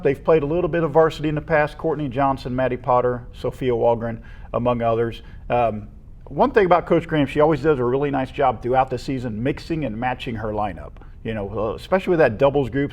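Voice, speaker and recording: An American man with a mid-pitched voice talks as co-host of a sports program. His delivery is conversational and casual. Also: darkish tone.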